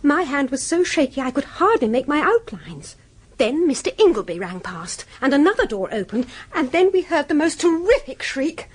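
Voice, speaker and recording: High-pitched, earnest British typist. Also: female voice, sing-song, moderate pace. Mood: earnest, excited. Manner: prim, earnest, eager. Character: polite, earnest, gossipy young office typist. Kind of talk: radio drama acting.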